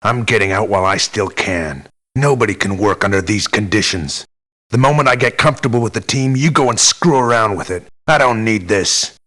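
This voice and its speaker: An actor playing a jaded, tough mercenary for hire in a video game, blunt and sardonic in delivery. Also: male voice, on the low side, a bit bright, lightly acted. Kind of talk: scripted video game voice lines.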